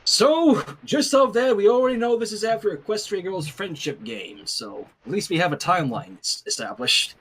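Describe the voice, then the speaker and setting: A man's voice: sits high, expressive, moderate pace, few pauses, a bit bright, husky, low-quality recording. A man hosting a fan fiction reading, playful, narrating the story and breaking off for chatty asides. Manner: playful, self-interrupting. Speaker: host, fan fiction reader.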